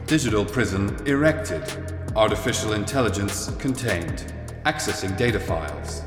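Guy doing a formal robotic spaceship computer, lowish voice, super echoey, literal and flat, sci-fi drama. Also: normal speed, natural voice. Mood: flat, commanding. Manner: formal, clipped, emotionless. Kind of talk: science fiction audio drama acting. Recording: echoey, long reverb, music behind.

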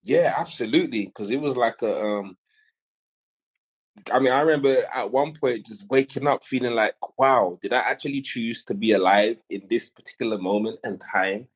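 Male interview guest, raspy middle-register voice, loose slangy delivery full of exclamations. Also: mid-range voice, moderate pace, low-quality recording. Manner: exclamatory, slangy, quotes own thoughts. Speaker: interview guest, music artist. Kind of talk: casual chat.

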